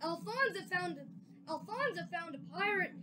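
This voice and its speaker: A woman voicing an eager young boy, reading haltingly and repeating phrases, with music behind. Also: extremely high pitch, lively intonation, noisy background, pitched above the performer's own voice. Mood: excited.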